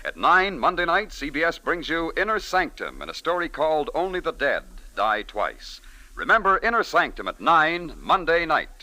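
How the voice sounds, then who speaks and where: A man's voice: fairly high pitch, big pitch swings, moderate pace, bright, forceful tone, poor audio. A man with an American accent announcing a mystery programme in polished 1940s radio style.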